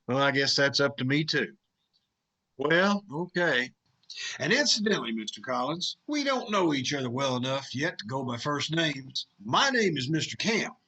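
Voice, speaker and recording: A man's voice: mid-range voice, big pitch swings, moderate pace, bright, forceful tone, breathy edge, full character voice. An actor with an American accent playing a prickly, cynical newspaperman in a radio drama, dry and sardonic. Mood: smug, prickly. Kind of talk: 1950s radio drama acting.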